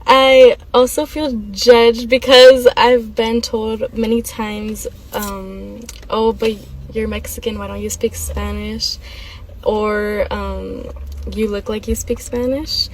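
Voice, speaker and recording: Young woman, slightly high voice, hesitant, ums, personal testimony. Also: little pitch movement, takes it slowly, a little hiss or hum, poor audio. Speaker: student interviewee.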